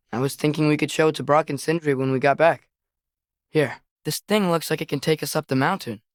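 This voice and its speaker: A man's voice: fairly high pitch, no room sound, lightly acted. A young male actor voicing an earnest, curious teenage boy adventurer in a video game, tentative but eager in a naturalistic style, recorded dry and close.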